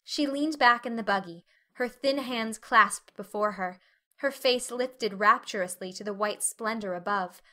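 A woman reading as an audiobook narrator, giving expressive, carefully phrased literary narration in a measured manner. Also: medium pitch.

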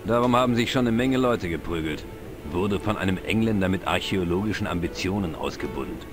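An actor playing an older, knowledgeable, wry pub regular in an adventure game, explaining things in a dry, measured way. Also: male voice, sits low, lively intonation. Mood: wry, informative. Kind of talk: dubbed adventure game dialogue. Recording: music behind the voice. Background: game music.

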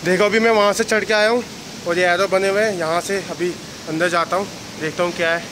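A man's voice: high voice, fairly even intonation. Tired young man vlogging beside roaring water. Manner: chatty, addresses viewers as guys and bhai. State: tired, out of breath from climbing. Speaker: travel vlogger. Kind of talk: casual selfie vlog narration. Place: wet rocky cave and forest trail outdoors, by a waterfall. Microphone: handheld phone camera at arm's length. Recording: loud rushing water noise behind. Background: waterfall and stream.

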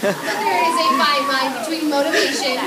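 A woman hosts a live outdoor theme park show as its director, upbeat and energetic, steering the crowd and cast. Her voice sits very high. It comes through park speakers from a distance, echoing, with a loud crowd and noise around it. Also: normal speed. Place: outdoor theme park stage by a water tank.

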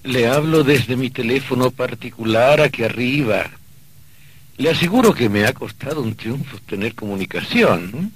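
A man's voice: mid-range voice, lively intonation, normal speed, breathy edge. An actor playing a wry, condescending, bossy male archangel in an old radio drama.

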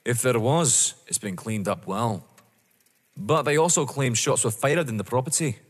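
An actor playing a polite, persistent police detective in an audio drama, deferential yet probing. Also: male voice, mid-range voice, expressive, moderate pace, natural voice. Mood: insistent, polite. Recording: reverberant hall sound.

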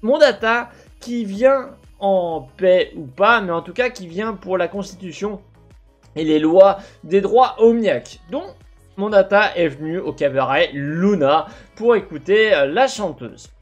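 A young man giving casual, speculative commentary as a gaming video host, halting at times and asking himself questions. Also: high voice, soft background music. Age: young adult. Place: indoor gaming setup.

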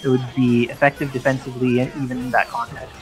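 A man's voice: mid-range voice, little pitch movement, fast. A man giving explanatory, articulate live play-by-play of a fighting game as an esports commentator. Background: game music under the commentary.

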